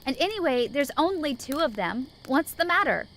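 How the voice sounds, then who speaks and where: A woman plays a flippant, friendly female army sergeant in a post-apocalyptic audio drama. The voice is high and very lively, swinging around as it chatters and teases. It has a bright, forward edge. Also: normal speed, a little hiss or hum, exaggerated voice, low-quality recording. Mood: breezy, friendly. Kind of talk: fan audio drama acting.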